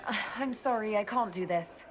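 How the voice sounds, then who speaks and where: A woman's voice: mid-range voice, moderate pace, very noisy, lightly acted. An actress playing a curious, dryly witty woman leader who talks in a chatty, lightly teasing way.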